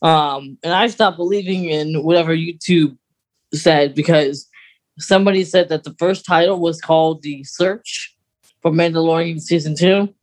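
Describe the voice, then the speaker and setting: A man's voice: on the high side, normal speed. A man co-hosting a podcast in a casual, conversational style. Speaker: podcast co-host.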